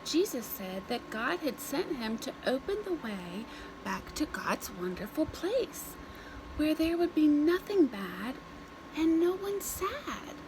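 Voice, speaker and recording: A woman reading a story aloud to young children in an expressive, deliberate way, with simple phrases and dramatic pauses. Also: sits high, background noise.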